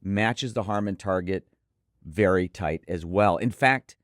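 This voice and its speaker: Low-voiced man reviewing gear, very dry sound. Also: unhurried, dry recording. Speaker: host and headphone reviewer. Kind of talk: conversational product review.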